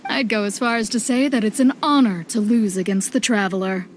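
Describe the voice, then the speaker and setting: A woman's voice: medium pitch, brisk, a little hiss or hum, close to an everyday voice. Woman playing a cool, straight-talking fighter, mid-range. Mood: gracious, sporting. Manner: matter-of-fact, frank. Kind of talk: anime game voice acting.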